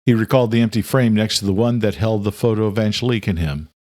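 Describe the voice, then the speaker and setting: A man's voice: lowish voice, fast talker, darkish tone, no echo, clean studio sound. A man reading as an audiobook narrator, in a scripted thriller style.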